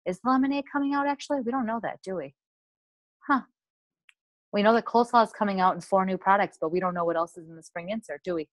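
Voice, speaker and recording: A woman's voice: mid-range voice, fast talker, no echo. An American woman leading a casual team coaching call in a peppy, chatty, rambling way with frequent asides. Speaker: sales team leader hosting a coaching call.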